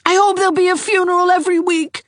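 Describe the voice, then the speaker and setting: A woman reading aloud, voicing a cheeky mischievous little boy in a high, boyish voice. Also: flat delivery, fast talker, dry recording, clean studio sound. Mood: eager.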